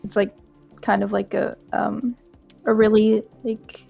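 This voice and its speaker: A woman's voice: medium pitch, flat delivery, measured pace, creaky, soft background music. A woman player describing her character's actions casually, with many fillers. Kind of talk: casual tabletop narration. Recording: dull lo-fi sound.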